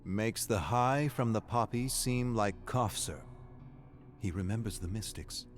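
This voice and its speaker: Male actor as ominous horror game narrator, lowish pitch, grave, deliberate, dramatic, music underneath. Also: natural voice. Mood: grim, mysterious. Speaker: narrator of game lore readings.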